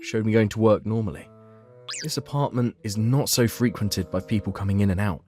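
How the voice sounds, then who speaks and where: A man tells a scripted first-person story as the narrator of a manga dub. His voice sits a little low, and music plays clearly behind him. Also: brisk, a little mellow.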